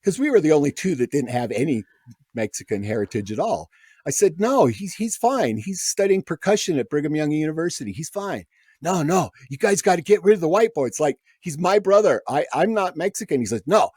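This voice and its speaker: Animated storytelling man, lively pitch. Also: fairly quick, husky, no echo, clean studio sound. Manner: animated anecdote teller, acts out quoted voices. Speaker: guest musician. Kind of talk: casual storytelling.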